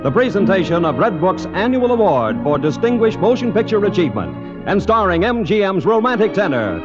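Old time american radio announcer guy, high voice, grand and formal, loud orchestra behind, muffled old recording. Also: very animated, fairly quick. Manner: grand, formal, dramatic build-up. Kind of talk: 1950s American radio show announcing, scripted introduction. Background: loud orchestral music.

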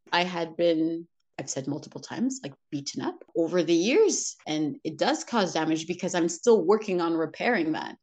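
A woman, a mother of two from Canada, talking candidly and reflectively about her own life in a conversational interview, laughing now and then. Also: fairly low pitch. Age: adult, mother of two daughters. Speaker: interview guest. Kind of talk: personal conversational storytelling.

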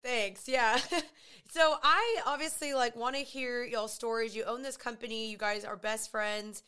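A woman with a raspy voice hosting a podcast in chatty, gushing talk full of fillers. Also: fairly high pitch, fairly even intonation, measured pace, sharp tone. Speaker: podcast host. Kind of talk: casual podcast chat.

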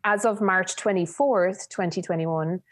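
A woman's voice: mid-range voice, measured pace, dry recording, clean studio sound. A woman in her thirties with an Irish accent, co-hosting a casual podcast and talking with lots of fillers. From Ireland. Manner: chatty, with many fillers. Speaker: podcast co-host, food journalist.

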